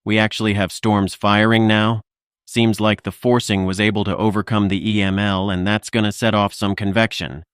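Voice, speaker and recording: A man playing a composed weather livestreamer, giving measured, informal technical forecast commentary. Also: lowish voice, fairly bright tone, clean tone, no echo, clean studio sound, lightly acted.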